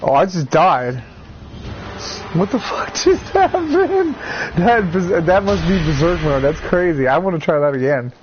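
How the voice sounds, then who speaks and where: A man streaming a game in casual chat. He sounds congested from a cold, gets excitable, laughs often and swears. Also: high voice, a little slow, slight background noise. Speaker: gameplay streamer. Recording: dull lo-fi audio with faint music.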